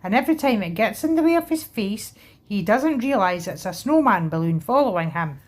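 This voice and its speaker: A woman's voice: medium pitch, animated, fairly quick, close to an everyday voice. A woman voicing a playful cartoon figure who rambles through a silly idea.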